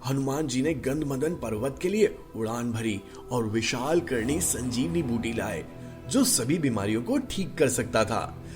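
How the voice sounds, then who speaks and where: Man as a measured immortal traveller, lively intonation. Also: mid-range voice, over music, close to an everyday voice. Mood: calm explaining. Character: kindly immortal traveller recounting ancient war. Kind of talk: animated mythological storybook dialogue.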